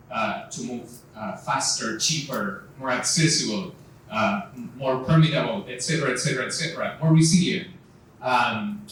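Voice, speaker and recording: A man's voice: medium pitch, fairly bright tone. A man moderating a live panel, talking with many fillers and restarts. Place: event venue. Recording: echoey room, far from mic, faint noise.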